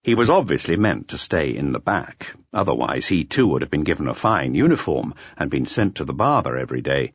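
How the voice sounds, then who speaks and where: Husky, expressive male audiobook narrator. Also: a bass voice, a little fast, hardly any pauses, dry recording. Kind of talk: scripted dramatic narration. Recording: slightly dull, dry audiobook recording.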